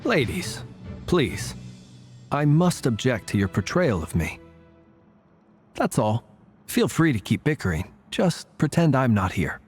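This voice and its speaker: A man playing a suave, teasing gentleman in a video game, urbane and wry with mock courtesy. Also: mid-range voice, very animated, unhurried, pauses often, faint music behind. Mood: mock courteous. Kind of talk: English video game voice acting.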